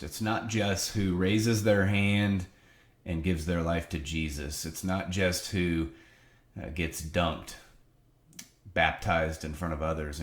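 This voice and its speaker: A deep, low-pitched man speaks with earnest, preacherly emphasis. A cold leaves him slightly nasal. The sound rings a little off the walls of his home office. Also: reverberant. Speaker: ministry leader giving a video update. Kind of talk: casual spoken update to supporters.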